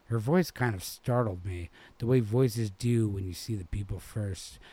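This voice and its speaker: A man from New England reading a literary short story aloud as an expressive narrator. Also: fairly low pitch, unhurried, slightly rough, no echo. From New England, United States. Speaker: reader.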